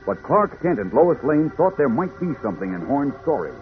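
A man's voice: a little high, sing-song, a little fast. A man narrating a 1940s radio serial in a formal, dramatic announcer style. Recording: tinny 1940s radio recording with loud music.

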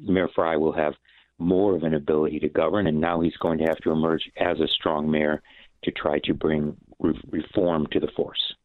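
Deep-voiced man analyst over a phone line. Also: moderate pace, no echo. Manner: steady, assertive analysis. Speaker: political commentator. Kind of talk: radio panel discussion. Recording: phone-line guest, dry.

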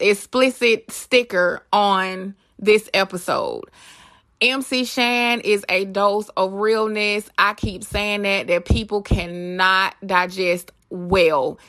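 A woman hosting a podcast in a casual, effusive, informal way, quick to laugh. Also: mid-range voice, a bit bright, dry recording, studio quality. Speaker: podcast host.

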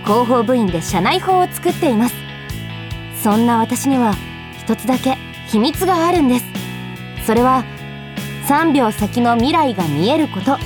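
Actress as high-pitched, animated, flustered young office woman. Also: over music, close to an everyday voice. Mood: narrating. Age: young adult. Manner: animated, emotive, quick to protest. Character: flustered, headstrong 24-year-old office woman, secretly in love. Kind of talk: Japanese radio drama acting with inner monologue.

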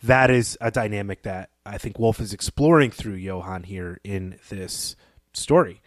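A man's voice: fairly low pitch, a little slow, no echo, clean studio sound. A man co-hosting a podcast, talking through a story in a thoughtful, analytical and articulate conversational way. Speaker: podcast co-host. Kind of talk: conversational literary discussion.

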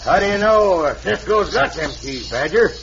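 Dim outlaw sidekick, high yelping voice, heavy hiss on old radio. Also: male voice, expressive, normal speed, creaky. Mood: eager. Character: dim-witted outlaw henchman with a rope. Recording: dull radio recording with loud hiss.

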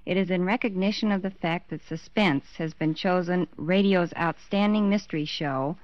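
Low-voiced woman, formal. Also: normal speed, dry recording. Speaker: magazine editor presenting an award. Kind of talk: formal scripted presentation. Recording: dull, dry 1940s radio recording.

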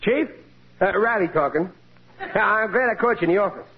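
A man's voice: high-pitched, animated, fairly quick. An American actor playing a bumbling, sly working-class husband and father in an old radio sitcom, stammering and exaggerating his lines. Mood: smug. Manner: hesitant, stammering, exaggerated delivery. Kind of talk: 1940s-50s American radio sitcom acting. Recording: noisy, muffled old radio broadcast.

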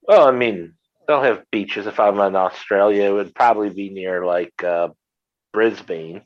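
A man guesting on a podcast in casual chat, cracking dry, deadpan jokes. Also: on the low side, a little slow. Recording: dull lo-fi audio.

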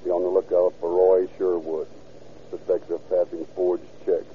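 An actor playing a terse police radio dispatcher reading clipped, deadpan bulletins in a 1930s radio drama. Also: male voice, low voice, moderate pace, close to an everyday voice. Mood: flat, official. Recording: tinny, noisy 1930s radio recording.

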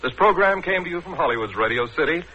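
A man's voice: on the high side, lively intonation, fast talker, bright, forceful tone. An American man making a formal, clipped network announcement in 1940s radio style. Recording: dull, hissy 1940s radio recording.

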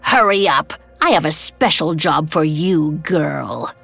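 A woman's voice: deep voice, lively intonation, slow pace, vocal fry, fairly bright tone, faint music behind, full character voice. A woman voicing a cruel, impatient old witch in a storybook tale, menacing, scornful and demanding. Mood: commanding, sly.

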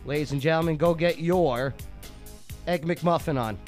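A man's voice: fairly high pitch, a little mellow, faint music behind. A man hosting a live radio talk show, joking and teasing his co-hosts. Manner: joking, teasing, quick asides.

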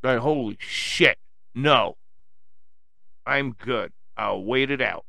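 A man's voice: mid-range voice, slow, vocal fry, pushed and bright, dry recording, clean studio sound. A man with a strong Long Island, New York accent, rambling casually to his listeners as a solo host in a blunt, profane, slangy way. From Long Island, New York, United States. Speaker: podcast host addressing listeners.

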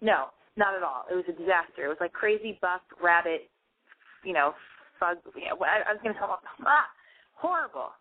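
A woman with a lisp hosting a casual call-in show, rambling, excitable and self-deprecating. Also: lowish voice, fairly quick. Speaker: radio show host. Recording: tinny phone-like sound.

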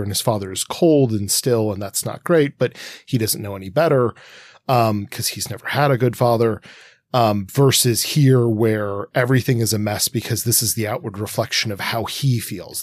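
A man's voice: fairly low pitch, darkish tone, no echo. A man co-hosting a conversational literary discussion, posing long-winded, analytical questions. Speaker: podcast co-host.

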